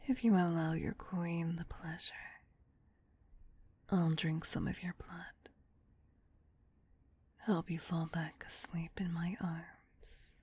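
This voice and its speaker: A woman playing a tender, possessive vampire queen in an ASMR roleplay, in a breathy whisper with a soothing, intimate, doting manner. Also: on the low side, steady tone, slow pace, long pauses, mellow, exaggerated voice. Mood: loving, gently coaxing.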